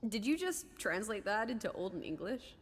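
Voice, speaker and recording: A woman's voice: mid-range voice, big pitch swings, moderate pace. An actress playing a cheeky, teasing young 1920s girl companion in a video game, playful and sassy with period slang. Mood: curious, playful. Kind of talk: lively video game dialogue. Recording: long echoing reverb, background noise.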